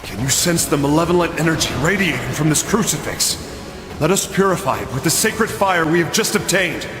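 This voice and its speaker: Man playing earnest, animated young crusader noble. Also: a little high, brisk, lightly acted. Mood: solemn. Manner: solemn, dramatic, portentous. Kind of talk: dramatic video game acting. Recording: loud music behind.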